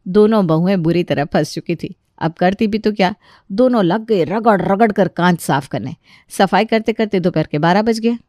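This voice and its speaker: A woman's voice: medium pitch, moderate pace, darkish tone, no echo, clean studio sound. A woman reading scripted narration for a children's story.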